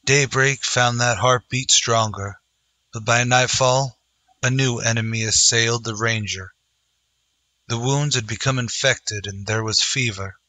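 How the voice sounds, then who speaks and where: A man narrates in the dramatic manner of an old-time radio western. His voice is bright and forceful, sitting at a medium pitch. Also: steady tone, a little slow, no room sound.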